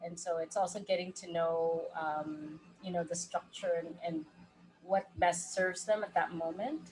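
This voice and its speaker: A woman life coach with a loud voice, talking casually in an interview and laughing often. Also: lowish voice, steady tone, unhurried, low-quality recording. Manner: laughs often, speaks of holding space.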